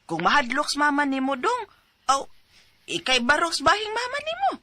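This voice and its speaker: A woman playing an assertive aunt who gives forceful advice in an audio drama. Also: high-pitched, wildly swooping pitch, normal speed, noisy background. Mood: urging. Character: assertive aunt urging her nephew to fight.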